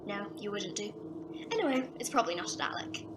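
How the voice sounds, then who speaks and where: Woman acting a sardonic, lively secret agent. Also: mid-range voice, fast talker, background noise, close to an everyday voice. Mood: breezy, musing. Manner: sardonic, breezy. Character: cocky, sardonic, knowing female agent. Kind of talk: audio drama acting.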